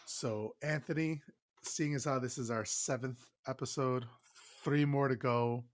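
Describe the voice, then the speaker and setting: A man's voice: mid-range voice, steady tone, unhurried. Nasal, stuffed-up man chatting. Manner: enthusiastic, swears freely. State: stuffed-up nose. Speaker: podcast co-host. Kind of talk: casual chat.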